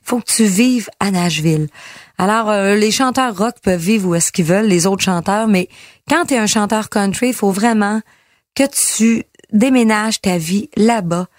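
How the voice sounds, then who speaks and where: A woman's voice: mid-range voice, no echo, studio quality. Candid Québécois woman recalling her past. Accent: Québécois French. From Quebec. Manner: candid, halting with frequent fillers. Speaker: country singer. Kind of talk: personal storytelling.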